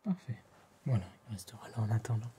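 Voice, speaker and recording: A man with a French accent whispers softly and breathily in an ASMR roleplay, addressing the listener politely and formally as an attentive tailor, close to the microphone. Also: lowish voice, animated, quick pace, slight background noise. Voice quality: soft, breathy whispering and hushed speech.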